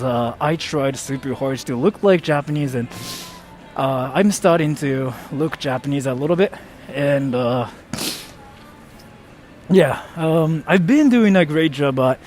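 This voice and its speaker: A man's voice: medium pitch, measured pace, darkish tone, soft background music. A young American man on a casual live stream, joking about himself and thanking viewers for donations. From United States. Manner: joking, self-mocking, reads chat aloud.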